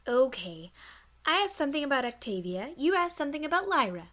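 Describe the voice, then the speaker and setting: A woman's voice: high-pitched, big pitch swings, a little fast, fairly bright tone. A woman voicing a playful, gossipy pony who talks in a coy, teasing way in a story reading. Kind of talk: audiobook character acting.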